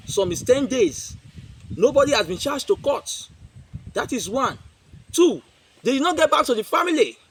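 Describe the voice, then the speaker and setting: A man's voice: very high voice, no room sound. A man with a Nigerian accent giving an emphatic press statement, repeating himself and posing rhetorical questions. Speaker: community group spokesman.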